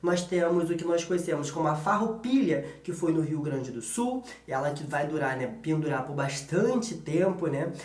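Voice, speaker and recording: A man's voice: a little high, animated, moderate pace, few pauses, a little mellow. A man with a Brazilian accent giving an informal history exam-prep lesson as a teacher, colloquial and often checking in with short tag questions. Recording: echoey room.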